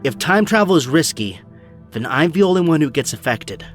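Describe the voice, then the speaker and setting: A man's voice: a little high, big pitch swings, normal speed, soft background music. A man acting a haunted, stubborn young superhero in an audio drama, earnest and emphatic. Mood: haunted, resolute.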